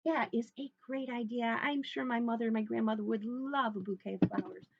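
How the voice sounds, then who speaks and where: A woman's voice: a little high, fairly even intonation, a little fast, creaky, highs cut off, slight background noise. A woman voicing a sweet, trusting little girl from a storybook in an earnest, innocent, sing-song way. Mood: cheerful, trusting.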